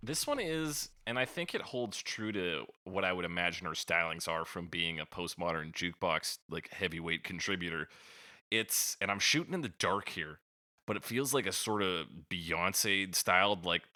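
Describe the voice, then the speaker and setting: A man's voice: low-pitched, normal speed, pushed and bright, no room sound, studio quality. A man co-hosting a music podcast, chatting casually and informally about songs with some swearing. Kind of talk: casual chat reviewing songs.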